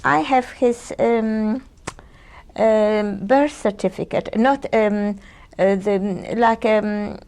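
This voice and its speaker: Hesitant Polish-born woman with a gravelly voice. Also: medium pitch, steady tone, extremely slow, a little mellow. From Poland. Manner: hesitant, searching for words with many ums and restarts. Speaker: interviewee. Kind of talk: oral history testimony. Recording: 1980s audio cassette interview.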